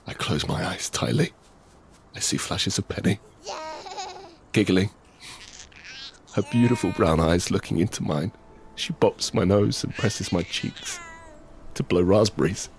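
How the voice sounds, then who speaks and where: Deep-voiced, anguished wounded father. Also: huge pitch swings, full character voice. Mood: pained, grave narration. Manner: halting, repetitive, pleading in dialogue. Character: injured, desperate father pleading to save his family. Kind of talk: first-person audio drama, narration and dialogue.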